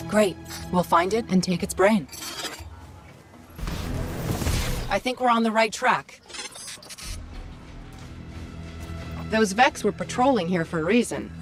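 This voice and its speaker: An actress playing a witty, upbeat little AI companion in a video game, brisk and confident. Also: female voice, mid-range voice, lightly acted. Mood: focused. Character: witty, upbeat female Ghost, small AI companion. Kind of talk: scripted video game voice acting. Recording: music playing behind the voice.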